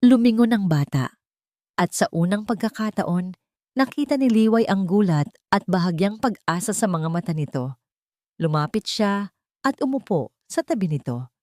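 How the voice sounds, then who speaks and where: A woman's voice: mid-range voice, lively intonation, normal speed, darkish tone, no echo, studio quality. A woman storyteller reading a dramatic scripted story as narrator.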